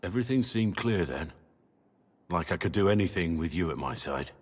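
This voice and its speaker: An actor playing a solemn, devoted middle-aged warrior in a video game, speaking earnestly and gravely. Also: male voice, low-pitched, animated, moderate pace. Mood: wistful.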